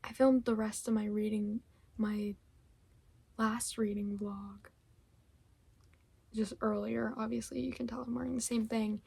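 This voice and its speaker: A young woman, a little hoarse from losing her voice, chatting casually about books she read, rambling with lots of fillers and giggles. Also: fairly high pitch, flat delivery, a little slow, long pauses, darkish tone, dry recording. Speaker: book vlogger. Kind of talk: casual book wrap-up chat.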